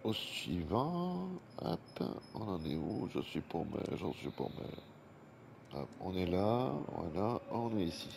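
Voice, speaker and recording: Low-voiced French man with a head cold. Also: big pitch swings, slow pace, creaky. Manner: chatty, breathes heavily at times. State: has a head cold, congested. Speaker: gaming YouTuber. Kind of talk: casual gameplay commentary. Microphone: desk microphone with fan running nearby. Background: faint fan noise.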